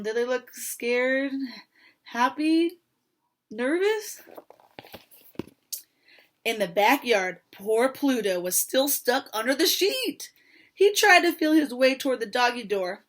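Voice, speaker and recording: A woman reading a story aloud to young children in an expressive manner, pausing to talk to her listeners. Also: a little high. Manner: expressive, pauses to ask children questions.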